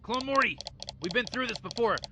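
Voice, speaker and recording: A man's voice: extremely high pitch, lively intonation, a little fast, noisy background. A man voicing a cocky, eccentric old male scientist in a fan game playthrough, rambling smugly and brushing off worries. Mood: casual. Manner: rambling, smug, dismissive. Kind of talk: fan impression during a video game playthrough.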